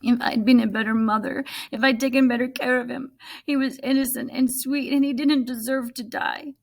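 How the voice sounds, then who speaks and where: A woman's voice: high voice, fairly even intonation, moderate pace, gravelly, pitched above the performer's own voice. A woman voicing a grieving, guilt-ridden young woman in a storybook, speaking plaintively. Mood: grieving, guilty.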